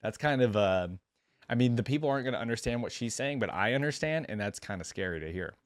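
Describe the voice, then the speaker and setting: A man's voice: mid-range voice, animated, fast, dry recording, clean studio sound. A man hosting a reaction video, reading a story aloud and breaking off into casual, musing commentary with hesitant asides.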